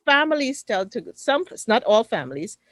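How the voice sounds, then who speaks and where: A woman's voice: a little high, big pitch swings, normal speed, a bit bright, no echo, studio quality. A woman with a Trinidadian accent speaking on a webinar panel over a video call, emphatic and preacher-like in her cadence. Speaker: panelist, mental fitness coach.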